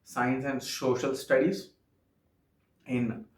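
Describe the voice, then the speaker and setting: A young man from Andhra Pradesh whose first language is Telugu, speaking English with a Telugu accent in an earnest, halting vlog monologue full of "okay" fillers. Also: mid-range voice, little pitch movement. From Andhra Pradesh, India. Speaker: vlogger. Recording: echoey room.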